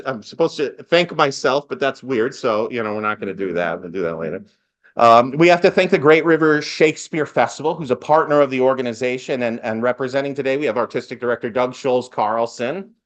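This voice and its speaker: A man's voice: medium pitch, fairly bright tone, lo-fi sound. A man speaking as host of a live event, giving a part-scripted welcome speech with lightly joking asides. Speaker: event host, master of ceremonies.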